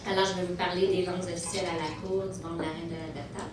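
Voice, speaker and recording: Lawyer, woman, fairly low voice, steady and deliberate with frequent hesitations, heard from a distance in a reverberant banquet hall with background noise. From Montreal, Quebec. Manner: measured, with frequent hesitations and restarts. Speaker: lawyer presenting at a conference. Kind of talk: prepared legal presentation.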